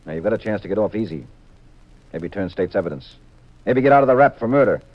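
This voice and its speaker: An actor playing a cool, shrewd private detective, brisk and assured, in a hard-boiled 1950s radio drama style. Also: male voice, on the low side, animated, lightly acted. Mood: firm, bluffing. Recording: muffled, hissy 1950s radio recording.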